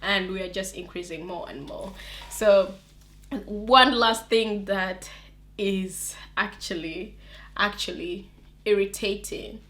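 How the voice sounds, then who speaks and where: A woman's voice: medium pitch, slow. Chatty young woman vlogger with an accent. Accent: non-native English accent. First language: English counts as mother tongue, not from England or America. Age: young adult. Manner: chatty and animated, laughing often, with many restarts. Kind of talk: casual talk to camera.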